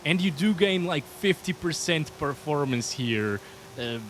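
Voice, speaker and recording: A man's voice: a little high, expressive, measured pace, slight background noise. A man with a Portuguese accent giving chatty, upbeat commentary over gameplay as a hardware reviewer. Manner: chatty, upbeat, often says "guys".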